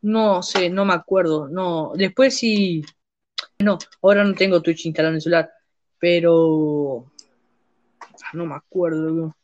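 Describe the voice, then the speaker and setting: A man's voice: on the high side, steady tone, unhurried, lo-fi sound. A young man, hosting a casual podcast chat, rambling with many restarts and trailing thoughts. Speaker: podcast host.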